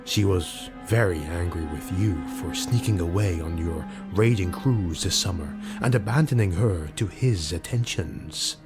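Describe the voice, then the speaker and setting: Man voicing a sly, low-voiced mocking rogue. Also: unhurried, few pauses, over loud music. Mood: sly, measured. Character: mocking, sly rogue retainer, smooth and deferential.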